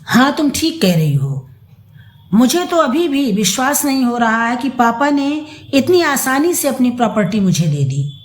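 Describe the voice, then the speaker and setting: A scheming young husband in an audio story, put on by a woman narrator. The talk is smooth and ingratiating, fairly high in pitch with expressive rises and falls. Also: measured pace. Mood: smug pleasure. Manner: ingratiating, soft-soaping.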